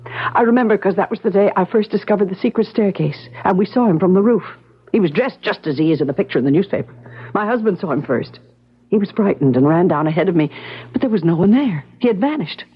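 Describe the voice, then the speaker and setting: An actress playing a distraught, haunted wife in an old radio drama, with a husky voice. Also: female voice, mid-range voice, lively intonation, fast talker. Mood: haunted, insistent. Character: distraught wife haunted by her husband's vanishing. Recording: dull lo-fi radio recording.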